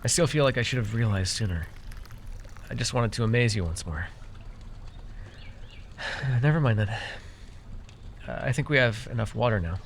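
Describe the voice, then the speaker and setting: Casting: male actor as fantasy herbalist-mage, medium pitch, proud, theatrical, easily flustered, intimate roleplay ASMR delivery, slight background noise. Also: animated, moderate pace, pauses often, close to an everyday voice. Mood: deflated, sheepish. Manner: theatrical boasting that slips into sheepish, stammering asides. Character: proud, easily exasperated young magical herbalist who gave away his name.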